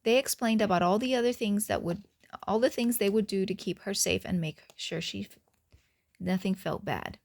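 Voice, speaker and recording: A woman's voice: mid-range voice, normal speed, creaky, a little mellow. A woman reading a picture book aloud to children, with chatty asides to them.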